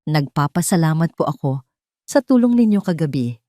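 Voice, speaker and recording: A woman voicing a proud young coffee shop worker in an audio drama, calm but with an edge and firm emphasis. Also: deep voice, normal speed, dry recording, clean studio sound. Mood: firm, defiant.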